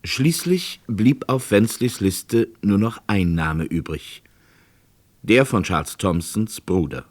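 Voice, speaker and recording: A man narrating a scripted true-crime radio drama in a measured, matter-of-fact way. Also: on the low side, animated, a little mellow.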